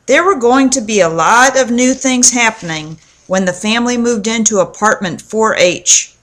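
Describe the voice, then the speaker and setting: A woman narrating a children's storybook aloud in a measured, clearly phrased read-aloud delivery. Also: a little high, fairly bright tone. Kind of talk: storybook narration with acted dialogue.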